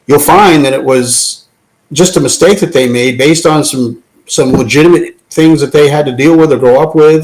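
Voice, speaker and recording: A man with a mid-range voice joins a live audio talk show. He speaks in a measured, thoughtful way, laying out his point patiently. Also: medium pitch, low-quality recording. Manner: measured, thoughtful, gently explaining. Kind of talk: casual, reflective talk.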